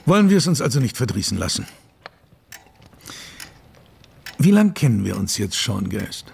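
Urbane, lively-toned older gentleman lawyer. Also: on the low side, gravelly, slight background noise, full character voice. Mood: convivial, wry. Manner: urbane, measured, wry. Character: genial, worldly older London lawyer, gentlemanly and dry. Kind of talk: German radio play dialogue.

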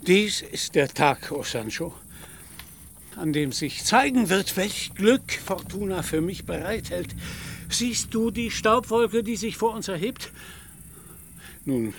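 A high-voiced, pompous knight-errant declaims in a radio play. He is proud, archaic and lost in his heroic delusions. Noise sits behind his voice. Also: a bit bright, heavily acted. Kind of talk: German radio play acting.

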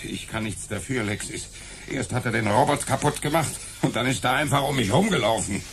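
Hulking dim henchman for radio crime: blunt, animated, echoey and noisy. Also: mid-range voice, fairly quick. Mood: defensive. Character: hulking, slow-witted male bodyguard secretary. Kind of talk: radio play acting.

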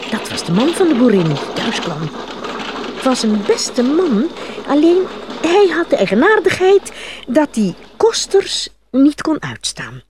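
A woman's voice: mid-range voice, a little mellow, a little hiss or hum. A woman narrating a fairy tale from a script as a storyteller.

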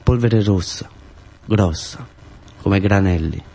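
A man's voice: sits low, takes it slowly, dark tone, full character voice. A male actor playing a brooding, obsessive young man in a radio play, speaking in terse, cryptic fragments with a southern Italian accent. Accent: southern Italian, Pugliese.

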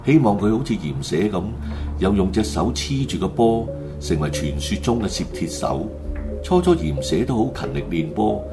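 A man with a Hong Kong Cantonese accent, narrating a scripted radio drama as a storyteller. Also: fairly low pitch. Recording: loud music bed under the voice. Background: music.

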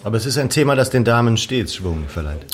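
An actor in a German radio drama playing a reserved, proud gentleman, terse and stiffly polite. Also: mid-range voice, big pitch swings, fast, lightly acted. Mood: stiff, guarded. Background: music.

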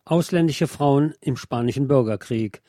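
A man reads a nonfiction book as a narrator, in a measured, formal way. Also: mid-range voice, noisy background. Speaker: narrator and audiobook reader of a radio programme.

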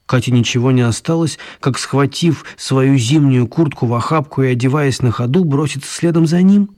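A man's voice: mid-range voice, normal speed, a little mellow, natural voice. A man acting as the narrator of a children's story, in scripted storybook narration. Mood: storytelling. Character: male storyteller of a children's tale.

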